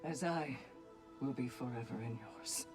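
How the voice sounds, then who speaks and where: A woman's voice: extremely low pitch, unhurried, over loud music. A woman playing a proud, tough, injured lady commander in a video game, curt and teasing yet affectionate. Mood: weary, tender. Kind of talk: cinematic game acting.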